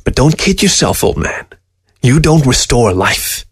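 A man's voice: mid-range voice, big pitch swings, unhurried, husky, full character voice. Man voicing a scornful talking fox. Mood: cold. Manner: sharp, scornful, lecturing. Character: scornful, aloof male fox, preserved and posed.

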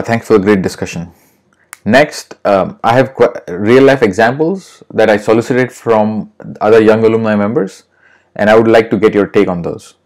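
Low-voiced man hosting an interview. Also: darkish tone. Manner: reads a prepared question plainly. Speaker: podcast host. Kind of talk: reading a listener question.

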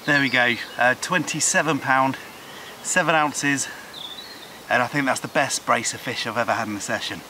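A man's voice: medium pitch, moderate pace. A man chatting casually and informally to camera as a fishing vlogger. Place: outdoors on a wooded lake bank. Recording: outdoor nature noise behind voice.

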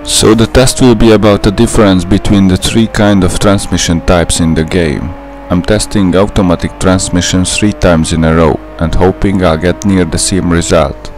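A man's voice: deep voice, steady tone, moderate pace, few pauses, a little mellow, soft background music. A young man with a Hungarian accent, a native Hungarian speaker, narrating a gameplay tutorial in a careful, methodical way. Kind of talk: scripted gameplay voice-over.

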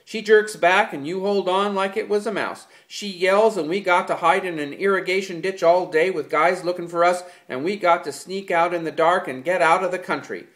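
A man voicing an angry, exasperated ranch hand who rants loudly and bitterly. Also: medium pitch, expressive, moderate pace, close to an everyday voice. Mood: furious. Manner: loud, bitter ranting.

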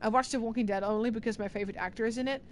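A woman's voice: a little high, steady tone, rapid-fire, a little hiss or hum. A young woman with an Irish accent, chatting casually on a livestream from a room at home, rambling as she answers chat messages. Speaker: streamer.

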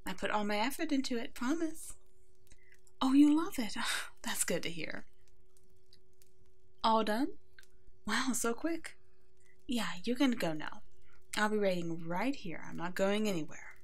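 Kind werewolf pack leader, played by a woman, mid-pitched, soft-spoken and motherly, protective and soothing, for ASMR audio roleplay. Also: animated, a little slow, pauses often. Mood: caring, reassuring. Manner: gentle, nurturing, soft-spoken, often slipping into whispers.

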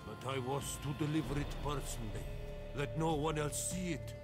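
An actor playing a timid, dutiful beggar in a video game, plain-spoken and reluctant. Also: male voice, a little high, normal speed, natural voice. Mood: reluctant, uneasy. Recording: music playing behind.

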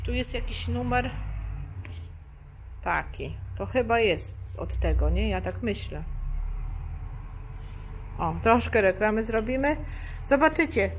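A woman with a fairly high voice chats casually from behind the camera. She is inquisitive, prompting her companion and talking to viewers, over faint workshop noise. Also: animated, slow, long pauses. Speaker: camera operator and interviewer. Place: large cluttered workshop garage, indoors. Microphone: behind the handheld camera.

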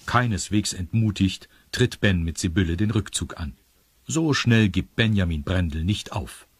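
Male storyteller, deep and very raspy, dry close sound, narrating a children's audio drama. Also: no room sound.

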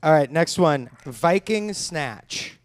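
Quizmaster bem-humorado, voz de homem um pouco aguda, seco e brincalhão, som seco e próximo. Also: male voice, fairly high pitch, animated, slow pace, no echo. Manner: dry jokey quizmaster, chuckling. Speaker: game segment host. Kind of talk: comedy podcast game hosting. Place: stage before live audience. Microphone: handheld mic.

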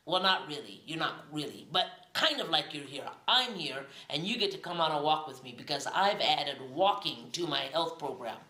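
Bright, chatty middle-aged woman. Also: low voice, lively intonation, normal speed, few pauses, slightly rough. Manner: chatty, emphatic, repeats words for effect. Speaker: vlogger. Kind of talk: casual chat to camera. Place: mostly outdoors in hilly town streets, partly indoors. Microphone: phone or camera at arm's length.

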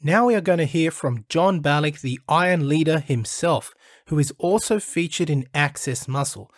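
A man's voice: fairly high pitch, moderate pace, dry recording, clean studio sound. A man hosting his channel, reading an earnest, praising voice-over review of a documentary. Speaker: channel host reviewing a film.

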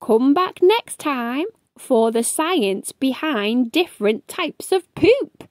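A woman reading the part of a cheery old male science professor in a children's storybook, animated and teacherly. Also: sits high, slow pace, dry recording, pitched below the performer's own voice. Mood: cheery, lively. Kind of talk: children's storybook read aloud.

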